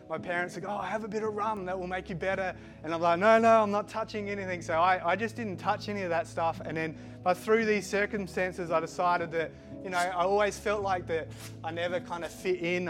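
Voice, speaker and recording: A middle-aged man born in Switzerland and raised in Australia, with a mixed Australian accent, giving a halting personal testimony full of fillers and restarts. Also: high-pitched, fairly bright tone. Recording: music playing behind the voice.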